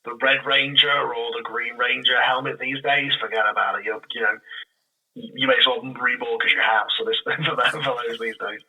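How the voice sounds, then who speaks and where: A man chatting casually as an interview guest, often halting and correcting himself. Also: medium pitch, level tone, pushed and bright, poor audio. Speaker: podcast guest, audio drama creator.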